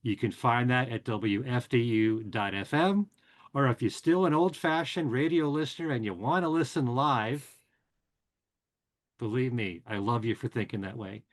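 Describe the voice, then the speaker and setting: A man's voice: medium pitch, normal speed. Chatty man hosting a podcast. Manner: chatty, relaxed, with frequent "uh" fillers. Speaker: podcast and radio host. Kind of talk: casual show announcements.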